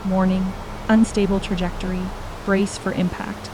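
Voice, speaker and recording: A woman's voice: medium pitch, little pitch movement, moderate pace, close to an everyday voice. A woman voicing an impassive escape pod computer making automated warnings in an audio drama. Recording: clear hissing background noise.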